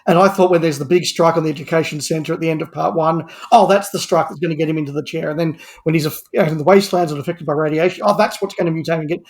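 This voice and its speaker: A man's voice: a little high, steady tone, racing, hardly any pauses. A man giving a casual review as a podcast guest, making articulate, thoughtful points at length.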